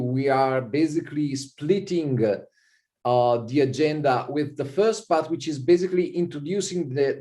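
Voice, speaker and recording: A man with an Italian accent gives a university lecture over a video call, his voice warm and mellow. He talks in a relaxed, easygoing way, dotting his sentences with fillers and a steady stream of "okay". He speaks at an everyday pitch through a computer mic. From Italy. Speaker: visiting professor.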